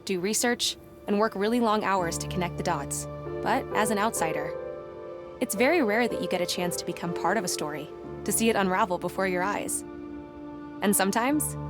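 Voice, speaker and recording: Female actor as young game reporter, mid-pitched, composed, polite, curious, music behind. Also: lightly acted. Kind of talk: adventure game narration and dialogue.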